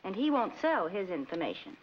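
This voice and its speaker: An actress playing a shrewd, confident young woman in a 1940s comedy film, brisk and coy with slangy lines. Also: mid-range voice, very animated, lightly acted. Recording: muffled, noisy old film soundtrack.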